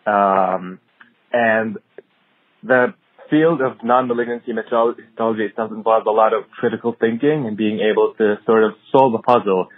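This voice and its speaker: A man in his thirties from Syria, a physician answering interview questions in a measured, thoughtful way with many pauses and ums. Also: lowish voice, no echo. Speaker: hematology-oncology fellow, podcast guest. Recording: tinny phone-like audio.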